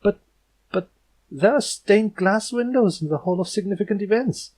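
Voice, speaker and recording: A man's voice: high voice, normal speed, darkish tone, breathy edge, voice pushed up. A man voicing an earnest, polite young female unicorn princess in a cartoon story, easily flustered. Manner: courteous, sputtering, deflated.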